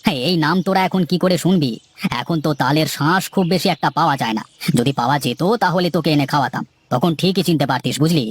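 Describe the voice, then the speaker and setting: Fast-talking, high-pitched bossy cartoon father acted by a man. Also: slightly rough, no echo. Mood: mildly chiding. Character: middle-class village gentleman and doting father, chatty, bossy. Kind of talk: acted dialogue in a moral-story cartoon.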